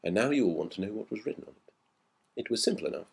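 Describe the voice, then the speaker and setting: A man reading a classic ghost story aloud as an expressive, measured first-person recollection. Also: lowish voice, creaky, husky. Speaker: reader of a story.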